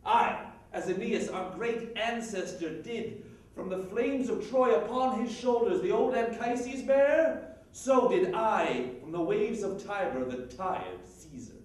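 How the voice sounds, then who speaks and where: A man's voice: sits high, takes it slowly, a little mellow, voice pushed up. A man playing a bitter, envious, proud Roman in an intense Shakespearean stage monologue. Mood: indignant, impassioned. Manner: intense, declamatory. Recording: very echoey room, distant mic.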